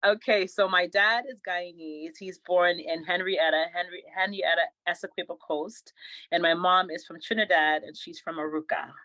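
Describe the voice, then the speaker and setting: A woman in her forties, born in England, answering interview questions with lively stories and frequent laughter. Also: fairly low pitch, moderate pace, no echo, rough recording. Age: 40s. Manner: lively storyteller, laughs often. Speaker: guest. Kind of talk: casual interview.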